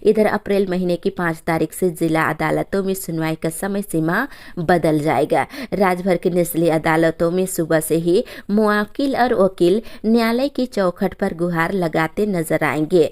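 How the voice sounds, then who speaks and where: A woman news presenter reads scripted bulletins. Her voice sits on the low side and has a dark, mellow tone. The delivery is that of formal narration, moving from one report to the next. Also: moderate pace. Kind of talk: scripted news narration reading regional headlines.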